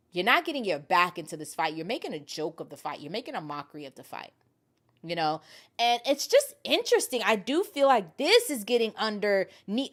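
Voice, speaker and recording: A woman hosting a commentary channel, talking casually and emphatically to viewers in slangy language, repeating her points and acting out quotes. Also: medium pitch. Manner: animated retelling, acting out quotes; informal slangy talk with mild swearing. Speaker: host of an MMA commentary channel.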